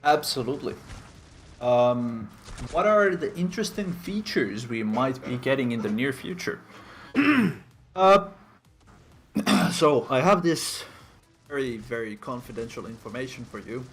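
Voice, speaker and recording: Male dev host, casual and halting, mid-pitched, faint music behind. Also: animated. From Finland. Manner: hesitant, searching for English words, self-deprecating. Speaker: game developer hosting a community stream. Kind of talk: casual live-stream chat answering viewer questions.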